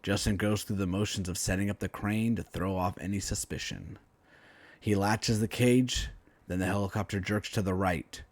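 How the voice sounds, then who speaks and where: A man reading a superhero story aloud in a dramatic, emphatic storytelling style with deliberate phrasing. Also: lowish voice, steady tone, dry recording. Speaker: author reading own story, writing teacher.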